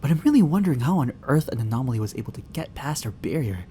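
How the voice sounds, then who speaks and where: A man's voice: mid-range voice, big pitch swings, fast talker, dark tone, slight background noise, lightly acted. A young man acting a shy, flustered barista who is secretly an agent in an ASMR roleplay drama, hesitant, stammering and apologetic. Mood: explaining, worried. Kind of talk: ASMR roleplay, acted dialogue spoken to the listener.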